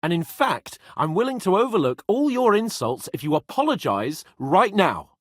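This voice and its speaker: A man's voice: high voice, very animated, normal speed, fairly bright tone, dry recording, clean studio sound, full character voice. A man playing a cocky, whiny young medieval lord in a video game, with a British accent and a pompous, sardonic manner. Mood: indignant, angry.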